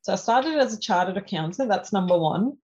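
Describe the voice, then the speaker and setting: A woman's voice: medium pitch, lively intonation, brisk, darkish tone. Methodical Danish-native woman, slightly muffled. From Denmark. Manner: methodical, lays points out in numbered steps. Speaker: podcast guest, cybersecurity professional. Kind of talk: conversational interview. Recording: slightly dull lo-fi audio.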